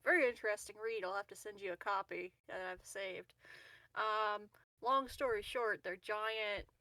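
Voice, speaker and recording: A woman's voice: medium pitch, moderate pace, sharp tone, no echo, low-quality recording. Casual woman roleplayer, dry close recording. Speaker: tabletop roleplaying game player. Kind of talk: casual in-character banter at game table.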